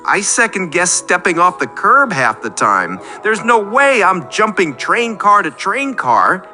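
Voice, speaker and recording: Comic radio play: male actor as earnest, self-deprecating caller, rambling and apologetic, lively swooping pitch, slightly high. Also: measured pace, soft background music, heavily acted.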